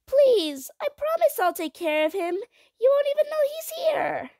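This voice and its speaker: A woman's voice: very high-pitched, sing-song, moderate pace, darkish tone, no echo, pitched above the performer's own voice, full character voice. A woman voicing a passionate young girl in a storybook, pleading insistently. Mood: desperate, pleading.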